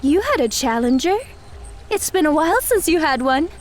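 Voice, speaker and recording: A woman's voice: sits high, sing-song, moderate pace, a little hiss or hum. A woman playing a polite, earnest and proud young female martial artist in an audio drama. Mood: concerned.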